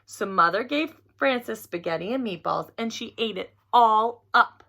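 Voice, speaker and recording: A woman reading a picture book aloud to children in an expressive storytelling style. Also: a little high, a little slow, creaky.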